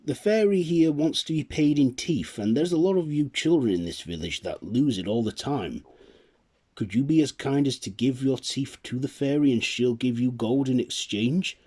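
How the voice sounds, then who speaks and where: A man's voice: medium pitch, big pitch swings, moderate pace, a little mellow, breathy edge, pitched above the performer's own voice. A man voicing a jolly, generous man who helps his poor village and laughs "ho, ho", speaking eagerly and heartily.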